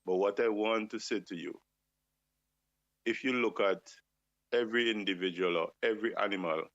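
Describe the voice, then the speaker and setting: A man's voice: on the low side, moderate pace, a bit bright, dry recording. A man on a talk show, speaking with passion and firing off rhetorical questions. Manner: impassioned, asks rhetorical questions. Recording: dull lo-fi dry sound.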